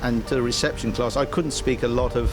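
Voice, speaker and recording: Older Guernsey man reminiscing gently. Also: mid-range voice, steady tone, fairly quick, over music. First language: Guernsey French. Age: 70s. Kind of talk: casual reminiscing.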